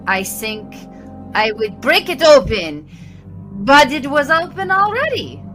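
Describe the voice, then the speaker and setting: Woman acting a slow, swooping nervous suspect. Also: high voice, sing-song, music in the background, full character voice. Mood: anxious. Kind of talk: comic audio drama acting.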